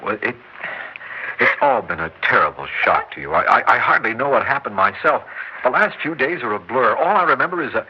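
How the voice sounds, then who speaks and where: An actor playing a bewildered husband who stammers and pleads, in a 1960s radio drama. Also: male voice, mid-range voice, animated, slightly rough. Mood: agitated. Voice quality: halting, stammering delivery. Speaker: husband returning home. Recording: muffled old radio broadcast.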